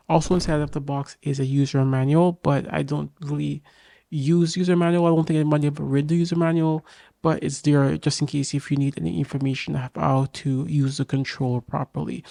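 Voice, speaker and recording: A young man with a raspy voice from a cold, casually and eagerly reviewing a game controller to camera in a small home studio. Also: a little high, mellow, no room sound. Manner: enthusiastic, talks straight to camera, many fillers. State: getting over a cold. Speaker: tech reviewer. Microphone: boom mic on arm.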